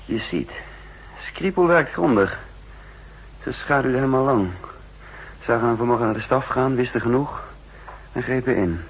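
An actor playing a cool, commanding spymaster and double agent in a 1960s Dutch radio drama, speaking in an assured, deliberate way. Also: male voice, mid-range voice, animated, slight background noise, natural voice, rough recording. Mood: assured, grim.

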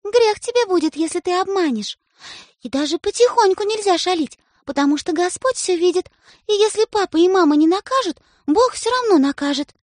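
A woman's voice: very high-pitched, expressive, brisk, dry recording, voice pushed up. A woman voicing a bossy little girl who coaxes and lectures her younger brother. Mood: stern. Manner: wheedling, then stern and preachy. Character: bossy little girl, coaxing older sister.

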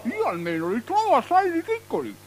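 A woman's voice: high voice, huge pitch swings, brisk, vocal fry, slight background noise, exaggerated voice. A woman playing a haughty, scornful Roman court guest in a theatrical radio drama, supercilious and mannered. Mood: disdainful.

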